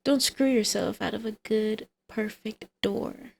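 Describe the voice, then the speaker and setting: A woman's voice: medium pitch, a little slow, vocal fry, darkish tone. A woman playing a flirty female villain and doting mother in an ASMR roleplay, with a teasing, coaxing, sardonic manner.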